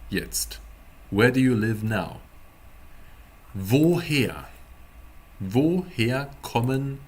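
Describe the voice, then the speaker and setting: Male language tutor for live-streamed class; slightly low, rough-edged voice, casual and deliberate, spelling things out word by word. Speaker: German teacher.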